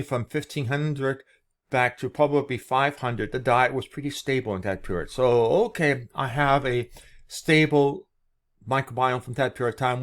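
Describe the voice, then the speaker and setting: A man with a medium-pitched voice presents an informal explanation, as if walking someone through what is on a screen. He speaks in short phrases with a halting, unscripted delivery.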